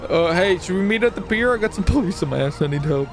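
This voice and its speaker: A man's voice: sits high, lively intonation, quick pace. A man chattering live through a roleplay game on voice chat, exclaiming and swearing as he reacts aloud to the action. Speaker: gamer, channel host.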